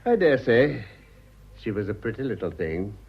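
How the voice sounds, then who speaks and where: An actor with a British accent playing an urbane, avuncular older gentleman with dry irony in a radio drama. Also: fairly low pitch, sing-song, moderate pace, vocal fry, breathy edge, exaggerated voice. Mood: wistful, wry. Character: urbane, wry older English gentleman, a deserted husband. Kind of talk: stage comedy radio drama acting. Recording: slightly dull, lo-fi audio.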